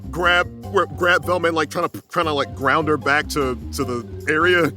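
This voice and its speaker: A man chatting casually as a player in a tabletop game, speaking in a halting, hesitant way. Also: on the high side, steady tone, pushed and bright, soft background music. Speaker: player in tabletop role-playing podcast. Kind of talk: casual improvised game chat.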